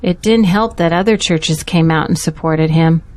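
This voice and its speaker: A woman's voice: sits low, normal speed, a little mellow, natural voice. A woman acting as a devout, supportive confidante of a pastor in an audio drama, speaking earnestly and reassuringly. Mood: troubled, earnest.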